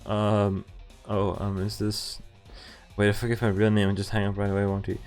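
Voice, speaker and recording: Guy streaming a visual novel, reads lines out loud and jokes around, music in the back. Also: fairly low pitch, completely flat delivery, moderate pace. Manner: jokey, swears casually. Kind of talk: playthrough reading dialogue aloud with casual reactions. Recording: music under the voice. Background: game music.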